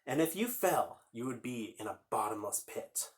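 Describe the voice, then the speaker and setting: Guy doing a kids story time, reads aloud, chats casually, asks the kids stuff, medium pitch. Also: sing-song, moderate pace. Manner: informal, explains words and asks listeners questions. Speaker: children's story time host. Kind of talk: read-aloud story time for young children.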